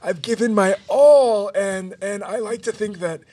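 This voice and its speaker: A man's voice: high voice, measured pace, mellow, recorded from a distance. A man in an improvised mock roundtable, talking as a self-important Broadway performer. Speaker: improv comedian on a podcast.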